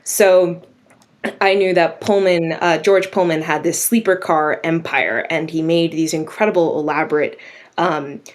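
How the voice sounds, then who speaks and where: Female interview guest, writer type. Slightly low voice, enthusiastic, casual storytelling. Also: steady tone, measured pace. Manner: enthusiastic, chatty, frequent "like".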